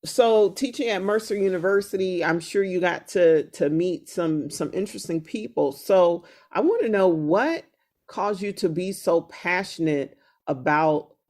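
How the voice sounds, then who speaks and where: A woman's voice: lowish voice, measured pace, darkish tone. A woman hosting a podcast interview, upbeat and enthusiastic, with many fillers and restarts. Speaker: podcast host.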